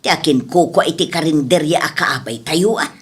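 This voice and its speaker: A woman's voice: low voice, normal speed, husky. A woman playing a talkative mother who runs a small eatery, in conversational radio drama style. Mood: suspicious, fretting. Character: talkative mother running a small eatery, fretting over rivals.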